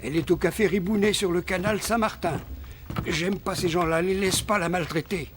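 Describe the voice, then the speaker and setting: A man's voice: sits high, steady tone, normal speed, background noise, natural voice. A man voicing a kindly, lonely hunchback in a French cartoon, speaking in a simple, plaintive, caring way. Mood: protective.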